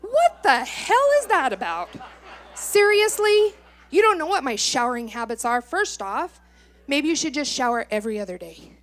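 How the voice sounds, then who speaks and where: A woman's voice: sits high, very animated, normal speed, fairly bright tone. A middle-aged American woman giving informal testimony as a resident at a public meeting, exasperated and sarcastic, acting out exchanges as she goes.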